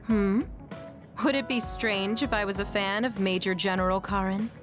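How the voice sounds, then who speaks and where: A woman playing a composed, authoritative major in an English video game dub, calmly directing others. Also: medium pitch, moderate pace, close to an everyday voice. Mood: curious. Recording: faint music behind the voice.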